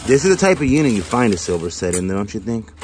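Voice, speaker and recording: A man chatting casually while digging through a storage unit, speculating about finds and prices. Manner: chatty, speculating, quick pitch jumps when excited. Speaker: storage auction vlogger. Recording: noisy storage unit recording.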